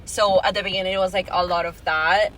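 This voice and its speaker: A woman's voice: on the low side, fairly even intonation, fast talker, fairly bright tone, poor audio. A woman in her late teens from Venezuela, a native Spanish speaker, chatting casually as a podcast guest with lots of filler "like". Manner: chatty, peppers talk with "like". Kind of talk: casual conversational interview answers.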